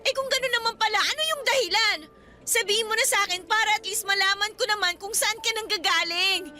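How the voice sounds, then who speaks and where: A woman's voice: very high-pitched, fast, soft background music, heavily acted. A woman voicing a young female student who pleads emotionally in a radio drama. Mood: desperate. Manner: emotional, pleading, rising to shouts.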